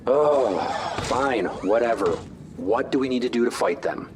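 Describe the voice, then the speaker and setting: A man plays a cocky superhero in armor for an audio drama. His mid-pitched voice is impatient and dismissive, full of sarcasm, with slight noise behind it. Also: animated, measured pace, natural voice. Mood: sarcastic, exasperated. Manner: snarky, dismissive.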